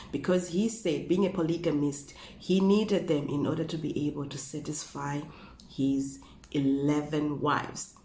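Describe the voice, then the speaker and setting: A woman in her thirties with a Zimbabwean accent, hoarse from a flu, telling a true crime story in a chatty way with asides and rhetorical questions, filmed indoors at a desk. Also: deep voice, a little mellow, a little hiss or hum. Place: small room indoors. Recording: echoey room.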